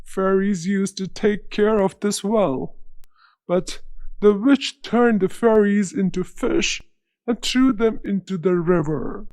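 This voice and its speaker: A woman's voice: mid-range voice, takes it slowly, a little mellow, no room sound, close to an everyday voice. A woman voicing a king half turned to stone, telling his tale in a grave, solemn way.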